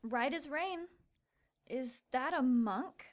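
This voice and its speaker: Female sidekick, high voice, plucky, wary, audio drama. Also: expressive, slow. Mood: tense.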